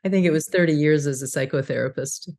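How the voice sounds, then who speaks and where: A woman's voice: low voice, fast talker, darkish tone. A middle-aged woman hosting a podcast, a former psychotherapist with a soothing voice who asks curious questions. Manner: soothing, encouraging listener. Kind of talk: podcast interview.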